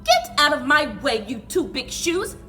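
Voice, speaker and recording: Woman voicing a bossy, fearless little old lady, animated. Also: high-pitched, a little slow, music in the background, voice pushed up, full character voice. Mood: defiant. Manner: emphatic, defiant.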